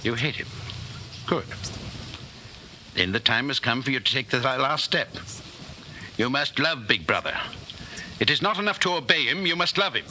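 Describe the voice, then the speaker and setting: An actor playing a cold, controlling party inquisitor in a 1940s radio drama, commanding, deliberate and menacingly calm. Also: male voice, medium pitch. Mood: stern, commanding. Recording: noisy old 1940s radio broadcast.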